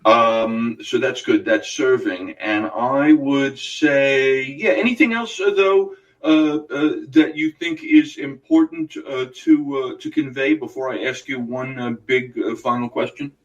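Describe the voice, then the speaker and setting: A man with a New York accent hosting a casual radio interview, halting with many fillers and restarts. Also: medium pitch, distant mic, highs cut off. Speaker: radio host.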